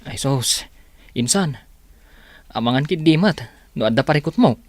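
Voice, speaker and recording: A man's voice: medium pitch, expressive, moderate pace, no room sound, natural voice. A man acting an earnest, helpful young male student in an Ilocano radio drama, with an Ilocano Filipino accent. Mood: concerned.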